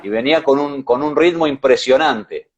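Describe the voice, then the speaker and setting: A man with an Argentine accent, co-hosting a casual motorsport chat, talking in a measured way with hesitations. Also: mid-range voice, slightly rough, dull audio. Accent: Argentine Spanish. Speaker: co-host.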